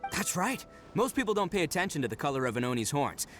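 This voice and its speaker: A man's voice: fairly high pitch, sing-song, fast talker, over music. A man voicing a boisterous, proud young male Oni gang leader in a game cutscene, given to hearty laughs. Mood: earnest. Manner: boastful, hearty laughs, earnest when serious.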